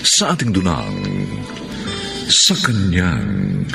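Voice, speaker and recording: A man's voice: low voice, wildly swooping pitch, very slow, vocal fry. A man giving solemn, theatrical scripted narration as announcer of a Filipino radio drama. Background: music.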